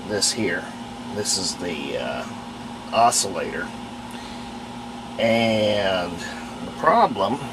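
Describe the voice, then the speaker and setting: Plainspoken man over clear background noise. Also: fairly low pitch. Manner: unscripted, plainspoken, thinking aloud while working. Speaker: host of a radio restoration video. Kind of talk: hands-on repair demonstration, casual narration.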